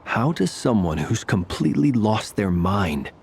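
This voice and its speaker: A man playing a calm, shrewd adventurer who leads a team in a video game, measured and decisive. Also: lowish voice, gravelly, slight background noise, exaggerated voice. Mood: thoughtful.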